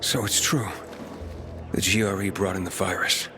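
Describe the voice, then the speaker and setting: Man playing wary young drifter hero, deep voice, terse, driven, video game dialogue, music underneath. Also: steady tone, normal speed, close to an everyday voice. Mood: grim, probing. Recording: music behind the voice.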